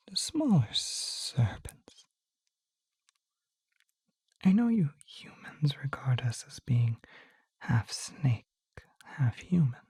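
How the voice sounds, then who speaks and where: A woman voicing a gentle, shy young male naga in an ASMR roleplay, speaking in a soft, hesitant, breathy whisper. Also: extremely low pitch, dry recording, studio quality, heavily acted. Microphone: close microphone, dry.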